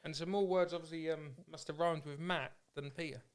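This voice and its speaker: A man's voice: a little high, fairly even intonation, fairly quick. A man hosting a podcast in casual interview chat, talking in an encouraging, matey way. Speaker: podcast host.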